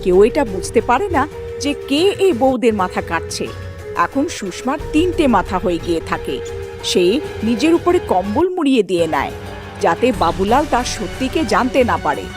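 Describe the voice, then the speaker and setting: A woman's voice: on the high side, big pitch swings, normal speed, music in the background. A woman telling a scripted ghost story as the narrator of a cartoon.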